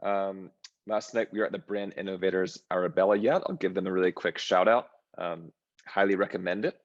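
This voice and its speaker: A man moderating a fireside chat, introducing the guest in a friendly, measured way. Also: deep voice, muffled recording.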